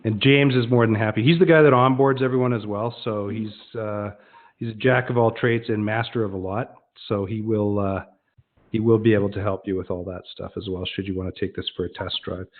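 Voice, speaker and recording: A man's voice: lowish voice, fairly even intonation, fairly quick. A man presenting an informal online demonstration, explaining conversationally and often restarting his sentences. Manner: conversational, explanatory, frequently self-correcting. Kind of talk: informal webinar presentation with question and answer. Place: online web conference. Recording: dull, lo-fi online webinar audio.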